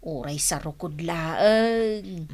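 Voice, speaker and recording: A woman's voice: fairly low pitch, drawn-out words, a little mellow. An actress with an Ilocano accent playing a fussy, frank elderly mother-in-law in a radio comedy drama. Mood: grateful, mild. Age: older.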